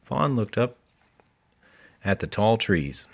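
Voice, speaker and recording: A man reading a children's story aloud in an animated storytelling style. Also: lowish voice, slow pace, gravelly. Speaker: children's book reader.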